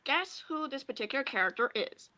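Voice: high voice, animated, a little fast, pushed and bright. A hesitant speaker thinking aloud while casually hosting a guessing game on a video call. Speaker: co-host of an online puppet show.

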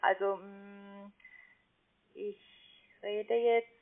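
A call centre agent, a woman speaking with a foreign, non-native accent, halting and searching for words on a phone call. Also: mid-range voice, level tone. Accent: foreign, non-native German. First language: not German. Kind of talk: unscripted phone sales call. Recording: narrow tinny phone line.

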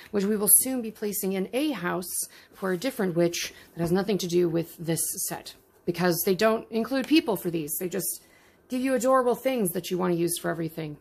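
Husky, gravelly-voiced woman chatting over an unboxing. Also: mid-range voice. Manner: chatty, talks to the viewer while handling tiny items. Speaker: miniatures unboxing vlogger. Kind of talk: casual unboxing chat. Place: indoors at a tabletop.

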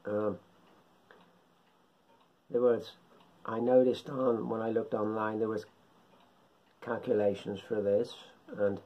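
A middle-aged man giving an informal tutorial in short, halting phrases, speaking at home into a camera microphone. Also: lowish voice, flat delivery, muffled recording. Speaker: amateur radio hobbyist presenter. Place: indoors, living room at home.

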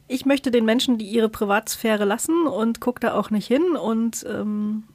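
A woman's voice: a little high, unhurried, a little mellow, no echo. A woman co-hosts a podcast, explaining things in a casual, matter-of-fact way. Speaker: podcast co-host.

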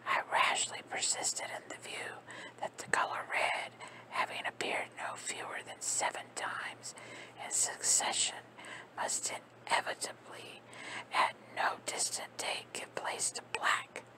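A woman voicing a stiffly formal, mournful young male curate in a soft whispered reading. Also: slow, natural voice, poor audio. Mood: rueful. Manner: whispered, formal and long-winded. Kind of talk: ASMR storybook reading.

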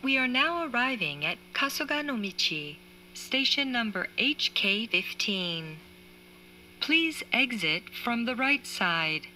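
Woman announcer, very slow, formal, crisp forceful tone, scripted transit announcement. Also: on the high side, big pitch swings, rough recording. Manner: formal, measured. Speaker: automated train announcer. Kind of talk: scripted English train announcement. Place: train carriage speaker, train ambience behind. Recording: faint train noise, recorded inside carriage.

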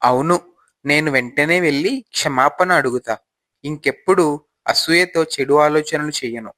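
A man's voice: a little high, normal speed, sharp tone, no echo. Envious village gardener played by a man, dry close sound. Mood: guilty, remorseful. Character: envious village gardener husband, brooding, finally remorseful. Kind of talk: moral storybook acting.

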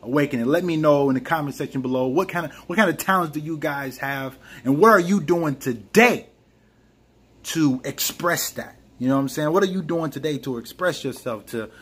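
A man's voice: a little high, a little fast, husky. A young man about thirty giving a casual motivational vlog from a small room at home, talking earnestly into a close camera and calling his viewers "man" a lot. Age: young adult. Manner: earnest, animated, lots of "man" and "you know what I'm saying". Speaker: vlogger. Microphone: camera close to face, webcam or phone.

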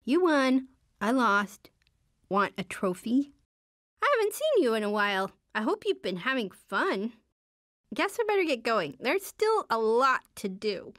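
Game role: shy teen superhero girl, higher-pitched, very animated melody, understated and earnest. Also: unhurried, no room sound, studio quality. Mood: playful, confident, then casual, mild. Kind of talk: video game character voice lines.

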